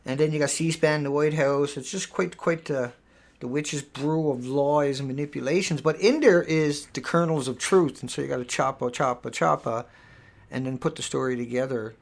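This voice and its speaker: A man's voice: fairly high pitch, moderate pace, gravelly. A middle-aged man hosting a live stream, talking casually and emphatically, rambling and repeating himself.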